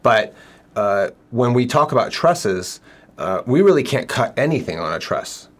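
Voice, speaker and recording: A man's voice: medium pitch, sing-song, unhurried. A middle-aged man hosting an interview, asking a guest conversational questions with plenty of fillers, seated indoors in an office. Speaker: builder and show host.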